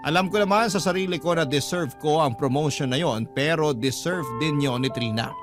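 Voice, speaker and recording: A man's voice: a little high, normal speed. A man narrating a scripted, sentimental first-person story as a radio storyteller. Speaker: radio storyteller reading a letter-sender's story. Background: background music.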